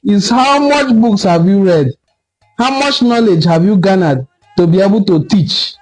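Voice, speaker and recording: A Nigerian man giving an impassioned solo commentary, lecturing forcefully and repeating points for emphasis. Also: high voice, lively intonation, a little slow, faint music behind, rough recording. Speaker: online cultural commentator.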